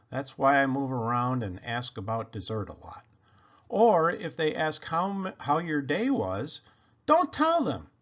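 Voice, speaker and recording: A man reading a children's picture book aloud in an expressive, playful way with animated emphasis. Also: mid-range voice. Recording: dull, lo-fi sound.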